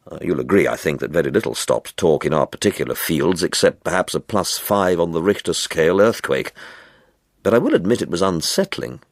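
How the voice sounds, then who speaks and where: Deep, expressive male storyteller. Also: dry recording, clean studio sound. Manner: wry, measured, expressive storytelling. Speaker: reader of a short story. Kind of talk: literary audiobook narration with character voices.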